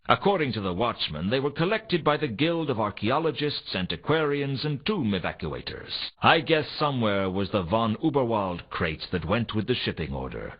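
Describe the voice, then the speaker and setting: An actor voicing a world-weary hardboiled detective in a fantasy video game, with a sardonic, deadpan delivery in both dialogue and narration. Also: male voice, mid-range voice, breathy edge. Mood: weary, matter-of-fact. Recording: dull lo-fi game audio, faint noise.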